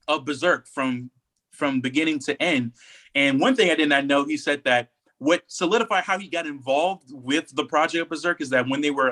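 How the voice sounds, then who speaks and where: A man co-hosting a casual podcast discussion, telling stories in an animated, slangy way and acting out quotes. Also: a little high. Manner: animated storytelling, acts out quotes in slangy speech. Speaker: podcast co-host.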